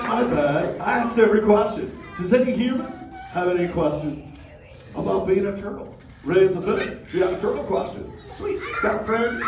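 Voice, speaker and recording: An actor voicing a laid-back male sea turtle dad who talks in surfer slang, drawling "dude" and "bro" to a live crowd. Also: sits high. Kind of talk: live interactive show with a crowd. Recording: roomy echo, distant mic, crowd noise.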